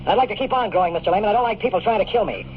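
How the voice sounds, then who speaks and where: An old, noisy, band-limited radio recording carries a hard-boiled private eye. He is a cynical, wisecracking man with a slightly high voice, slangy and sardonic in the manner of 1950s radio drama. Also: lively intonation, racing, highs cut off. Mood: defiant, wry. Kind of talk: 1950s radio drama acting with first-person narration.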